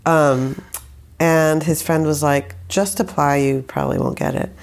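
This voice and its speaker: Young woman, low gravelly voice, hesitant and rambling, casual interview guest. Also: fairly even intonation, measured pace. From Saudi Arabia. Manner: rambling, hesitant, many fillers and restarts. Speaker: guest, musician.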